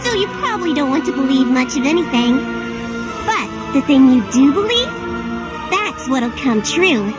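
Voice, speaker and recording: An actress voicing a small, cheeky guiding fairy in a video game, teasing, knowing and cryptic. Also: female voice, sits high, exaggerated voice, low-quality recording. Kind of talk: video game cutscene acting.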